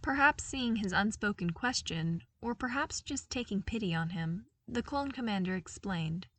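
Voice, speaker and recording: A woman's voice: medium pitch, expressive, moderate pace, fairly bright tone, distant mic. A woman reading a scripted story aloud as an audiobook-style narrator. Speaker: podfic narrator.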